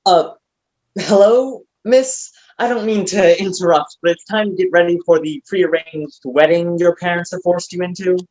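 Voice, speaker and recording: A man playing an eager, dim-witted, flattering manservant with a deferential, hesitant manner, in a comic stage play reading. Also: high voice, moderate pace, lo-fi sound, close to an everyday voice. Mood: apologetic.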